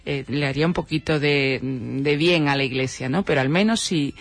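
A man with a Spain Spanish accent giving halting radio commentary, often tagging his points with short rhetorical questions. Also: fairly high pitch, dry recording. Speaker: radio show contributor. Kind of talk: semi-scripted radio commentary in conversation.

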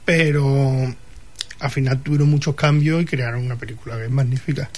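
A man from talking casually about film as a panelist, halting and correcting himself as he goes. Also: medium pitch, steady tone, darkish tone. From Spain. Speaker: podcast panelist.

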